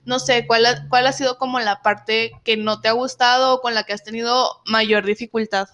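A woman's voice: on the high side, steady tone, moderate pace, fairly bright tone, muffled recording. A woman interviewer asking a question with some hesitation.

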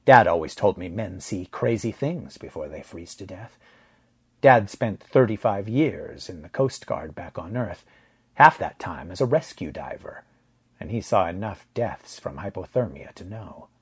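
A man reading first-person fiction as a narrator, in a measured, grave storytelling manner. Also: low-pitched, expressive, husky. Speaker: audiobook narrator.

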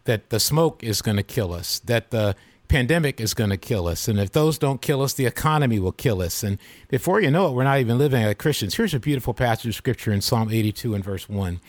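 Earnest male podcast host in his fifties, measured preacher-like delivery, dry close sound. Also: medium pitch, animated, no room sound. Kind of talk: devotional podcast talk.

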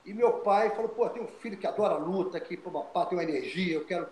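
An older man from Brazil talks as an interview guest in a voice pitched high for a man. He is animated, repeats words and restarts his sentences. The sound carries an echo from the room. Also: fast. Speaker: guest, retired fighter. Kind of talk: storytelling interview.

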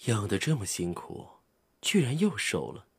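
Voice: medium pitch, sing-song, normal speed, slightly rough, full character voice. An actor playing a cool, aloof vampire prince in an audio drama. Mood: cool displeasure.

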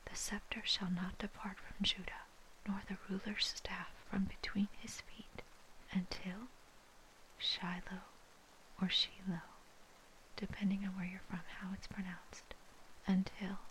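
A woman reading scripture in a soft, breathy, unvoiced whisper, ASMR style, with measured phrasing. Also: medium pitch, rough recording. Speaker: scripture reader.

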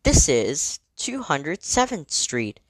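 Casting: female transit announcer, low pitch, slow and formal, scripted delivery, lilting intonation. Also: sing-song, no echo. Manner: measured, clearly segmented, formal. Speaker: train announcer.

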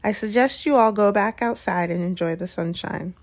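A woman's voice: mid-range voice, moderate pace, close to an everyday voice. A stern older lady professor from a storybook, as a woman reads her. She is formal and clipped, coldly authoritative and very proper. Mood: firm, guarded.

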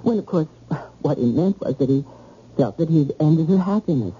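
Devoted wife, swooping, very mellow voice. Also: female voice, sits low, expressive, normal speed. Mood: worried, alarmed. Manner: coaxing, emotive. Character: devoted, soothing, anxious wife of a troubled man. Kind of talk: old-time radio drama acting. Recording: dull, hissy old radio recording.

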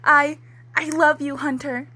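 A woman's voice: high voice, little pitch movement, unhurried, bright, forceful tone, voice pushed up. A woman playing a melodramatic, heartbroken teenage girl, pleading and overwrought, in an amateur dramatic fan fiction reading. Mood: tearful pleading.

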